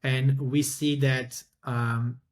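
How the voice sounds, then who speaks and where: A middle-aged man from Turkey answers interview questions at an even, steady pitch. He speaks carefully and thoughtfully, pausing often to fill gaps with ums and uhs. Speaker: startup founder and CEO, interview guest.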